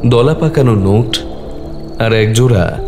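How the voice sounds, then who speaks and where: A man's voice: mid-range voice, measured pace, music in the background. A man narrating a scripted horror story dramatically, in short, suspenseful phrases.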